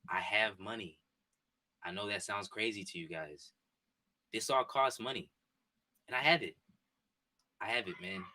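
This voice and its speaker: A man in his twenties chatting casually with his live-stream viewers from a home setup, excitable and repetitive. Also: fairly low pitch, moderate pace, long pauses. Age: 20s. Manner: excitable, repetitive, talks straight to chat. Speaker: sports streamer. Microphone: desk mic and headphones.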